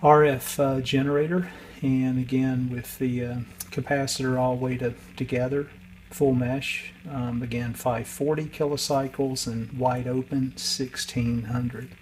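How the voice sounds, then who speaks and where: Flat-toned man, casual and hesitant, noisy background. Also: mid-range voice, measured pace. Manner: hesitant, unscripted, matter-of-fact. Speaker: radio restorer and video host. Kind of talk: casual workbench walkthrough.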